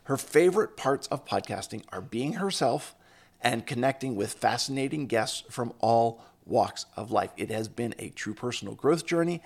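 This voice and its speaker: A man's voice: medium pitch, creaky. A man hosting a podcast interview, introducing his guest with upbeat enthusiasm. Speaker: podcast host. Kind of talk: casual interview.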